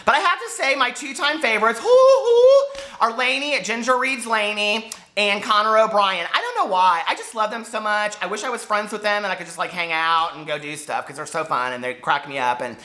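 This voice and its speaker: A man in his forties chatting casually and excitably to viewers as a book vlogger, gushing and a little campy. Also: high-pitched, fairly quick. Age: 40s. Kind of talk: casual chat to viewers, book tag video.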